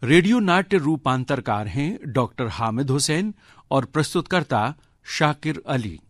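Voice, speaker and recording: A man's voice: medium pitch, expressive, measured pace, dry recording, clean studio sound. A man announcing a radio drama in a formal, scripted style.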